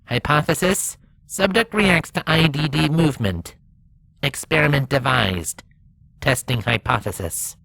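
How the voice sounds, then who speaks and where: A woman's voice: low-pitched, lively intonation, measured pace, vocal fry, fairly bright tone, voice pushed up, exaggerated voice, rough recording. Woman voicing a clipped, methodical robot rover. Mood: flat, methodical. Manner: clipped, procedural log-speak. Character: Opportunity, small literal-minded robot rover logging experiments.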